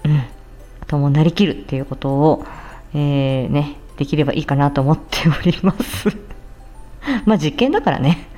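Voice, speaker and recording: A woman's voice: low-pitched, normal speed, dark tone, faint music behind. A woman streamer chatting casually in a giggly, bashful, hesitant way as she makes announcements. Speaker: streamer and amateur voice-drama performer.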